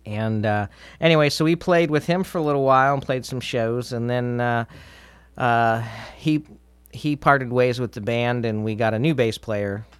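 A middle-aged man chatting casually as an interview guest, telling stories in a halting, easygoing way. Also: mid-range voice, clear, resonant tone, no room sound. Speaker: interview guest, drummer in a band.

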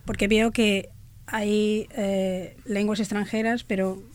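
A woman's voice: mid-range voice, little pitch movement, takes it slowly, a little mellow. Woman hosting a radio interview. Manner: scripted welcome, then questions. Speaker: radio host.

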